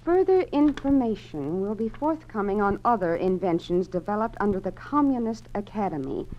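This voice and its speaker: A woman's voice: medium pitch, animated, moderate pace, close to an everyday voice. A woman acting in an old radio drama, playing a dutiful, wry museum clerk. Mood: wry, reading aloud. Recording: dull, hissy vintage radio recording.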